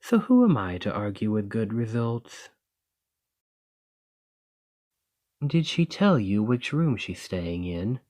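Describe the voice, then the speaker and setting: A gracious lamia noble merchant, played by a man, talking softly and formally to his servant. His voice sits low and he takes his time, unhurried and calm. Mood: curious. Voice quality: soft, measured and formal. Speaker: boss speaking to the listener. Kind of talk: ASMR roleplay.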